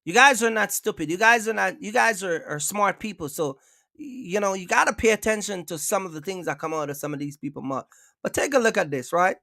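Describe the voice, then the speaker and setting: Bright-voiced man ranting on a livestream. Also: high voice, expressive, a little fast, vocal fry, no echo, studio quality. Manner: emphatic, repetitive, keeps asking viewers for likes. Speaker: trucking channel host. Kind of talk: casual livestream rant.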